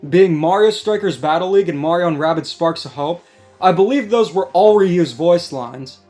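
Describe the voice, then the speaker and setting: A man with a fairly high voice talks through points in a measured, explanatory way. It is casual co-host chat, with faint music behind him. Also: darkish tone. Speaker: co-host of a gaming discussion. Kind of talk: casual discussion. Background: background music.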